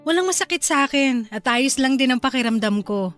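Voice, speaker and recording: A gentle young newlywed wife in a radio drama. Her voice sits high and tender, and she speaks with quiet, caring concern. Faint music plays underneath. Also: animated, a little fast. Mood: hesitant. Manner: soft, affectionate and considerate.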